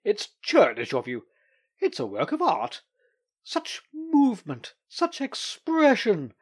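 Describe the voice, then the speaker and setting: A man voicing a fussy middle-aged male doctor in a storybook reading, speaking emphatically with a slightly husky voice. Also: a little high, big pitch swings, normal speed, exaggerated voice. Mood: indignant. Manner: emphatic, indignant.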